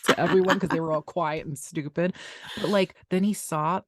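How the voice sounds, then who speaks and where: A middle-aged woman co-hosting a casual podcast, telling animated stories with lots of restarts and laughs. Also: fairly low pitch, moderate pace, dry recording. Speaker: podcast co-host. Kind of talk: casual chat.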